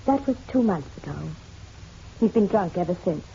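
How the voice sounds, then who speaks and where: A woman's voice: mid-range voice, animated, moderate pace, dark tone, close to an everyday voice. An actress playing a genteel, proud middle-aged mother in a radio drama, poised and arch with a bitter edge. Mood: bitter, firm. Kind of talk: noir radio drama acting. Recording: dull, slightly noisy radio-drama recording.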